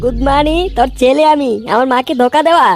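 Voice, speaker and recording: A woman's voice: sits high, fast, darkish tone, slight background noise. A woman voicing a hot-headed, foul-mouthed young man in a comedy cartoon, scolding and blustering. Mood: furious.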